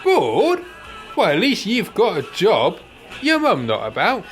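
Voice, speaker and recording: Easygoing old pal , acted by a man, slow and lively-pitched, mild-mannered joker for a radio drama. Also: faint music behind, exaggerated voice. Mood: friendly. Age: older. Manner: mild, hesitant, chummy. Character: amiable, placid old male care-home resident, joking peacemaker. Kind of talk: amateur radio play acting.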